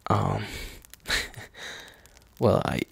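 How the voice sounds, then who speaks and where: A man playing a tender, anxious young boyfriend in a roleplay ASMR audio, husky and halting in his speech. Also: no room sound. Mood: hesitant. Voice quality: husky, gravelly. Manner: halting, hesitant, soft-spoken, sighing.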